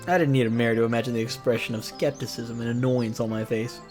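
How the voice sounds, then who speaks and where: A man's voice: medium pitch, steady tone, fast. A man streaming a visual novel, reading it aloud expressively with casual asides. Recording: music behind.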